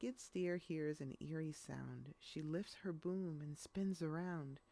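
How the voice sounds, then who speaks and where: Unhurried woman reading a children's story, gravelly. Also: low voice, dark tone. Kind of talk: expressive children's read-aloud narration.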